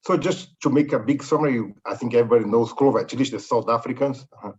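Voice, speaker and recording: Male guest, middle-aged, Brazilian Portuguese accent, mid pitch, lo-fi call audio. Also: fast talker, darkish tone, breathy edge. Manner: friendly, slightly broken English. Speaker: company executive, guest. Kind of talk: interview answers. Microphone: video call.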